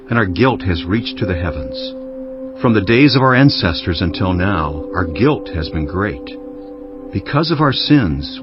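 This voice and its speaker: Deep solemn guy narrating the bible, measured delivery, music behind, slightly muffled. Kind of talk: dramatized scripture narration. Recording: dull audio under music. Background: prominent background music.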